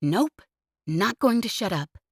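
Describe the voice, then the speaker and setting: A woman's voice: medium pitch, animated, slow, breathy edge, dry recording, studio quality, voice pushed up, heavily acted. A woman narrator voicing a brash young woman pilot who chatters cheekily and won't stop talking, in an audiobook reading. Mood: coaxing.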